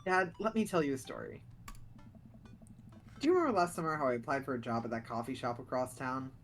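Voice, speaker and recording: A man voicing a dry, sarcastic teenage daughter in a video game, with a flat deadpan delivery. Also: medium pitch, fairly quick, soft background music, pitched below the performer's own voice.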